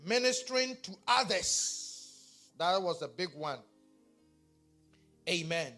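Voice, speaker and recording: A man's voice: high voice, slow, faint music behind. A man from Ghana with a Ghanaian accent, preaching a sermon and talking back and forth with his congregation. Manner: animated, talks with the congregation. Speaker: pastor.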